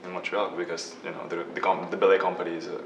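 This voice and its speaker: A man from Ukraine, a photographer, answering interview questions in a soft, halting way with frequent restarts. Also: low voice, flat delivery, creaky, slight background noise. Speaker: guest photographer. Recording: echoey room, mic from a distance.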